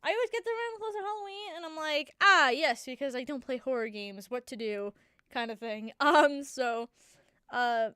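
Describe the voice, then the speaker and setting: A woman's voice: sits high, moderate pace, sharp tone, no echo, clean studio sound. A young woman with a congested voice, chatting casually as a gaming channel host, rambling with many fillers and restarts. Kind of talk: casual chat.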